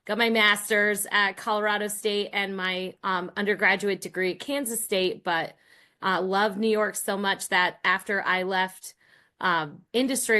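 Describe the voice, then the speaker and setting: A woman's voice: medium pitch, pushed and bright. A middle-aged American woman, a professor appearing as a guest, explaining her work conversationally. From Rhode Island and Massachusetts, United States. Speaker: guest, college professor and team coach.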